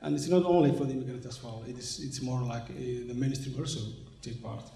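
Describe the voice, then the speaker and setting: Male interviewee from Somalia, 40s, mid-pitched and dark-toned, halting delivery, roomy hall sound. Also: steady tone, gravelly. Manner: hesitant, many fillers and restarts. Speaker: interviewee, organization representative. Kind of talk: conversational interview. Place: conference venue, indoors. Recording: echoey room sound.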